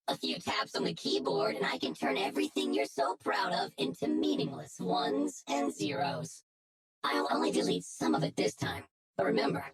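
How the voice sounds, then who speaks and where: A woman playing a menacing, smug hacker in a game cutscene, taunting deliberately and theatrically, with music behind her. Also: low-pitched, heavily acted. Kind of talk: dramatic game cutscene acting.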